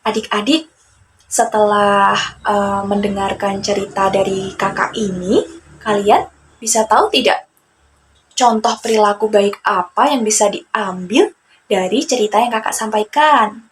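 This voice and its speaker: A young Indonesian woman telling a story to children, speaking deliberately and addressing young listeners directly. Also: medium pitch, poor audio. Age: young adult. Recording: faint background ambient noise.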